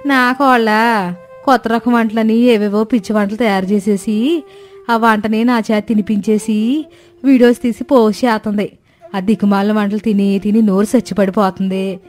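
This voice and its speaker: A woman's voice: medium pitch, fairly even intonation, moderate pace, a little mellow, faint music behind, lightly acted. Woman as a chatty, grumbling older village neighbour. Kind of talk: cartoon moral story dialogue.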